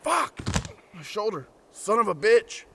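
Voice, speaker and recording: Man as a tense, animated soldier-scribe. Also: high voice, a little slow, heavy background noise, exaggerated voice. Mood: pained exasperation. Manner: tense, swearing, reasoning. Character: pragmatic, gruff soldier-scribe, sweary and exasperated. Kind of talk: post-apocalyptic audio drama acting.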